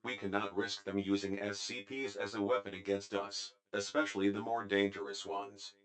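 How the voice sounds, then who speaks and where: A man's voice: fairly low pitch, little pitch movement, moderate pace, a bit bright, smooth, natural voice, low-quality recording. A man playing a sober, worried secret-organisation council member, in dramatic meeting dialogue. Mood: grave.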